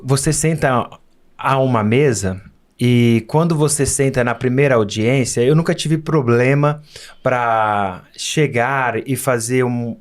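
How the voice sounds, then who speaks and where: A Brazilian man from Minas Gerais speaking as a podcast guest, telling personal stories in an earnest, emphatic, conversational way. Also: mid-range voice. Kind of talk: casual interview storytelling.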